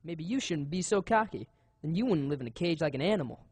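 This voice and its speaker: A man playing a hot-headed young police detective in a crime audio drama, brash and husky. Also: a little high, lively intonation, fast talker, darkish tone, dry recording. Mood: scornful. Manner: brash, slangy, combative.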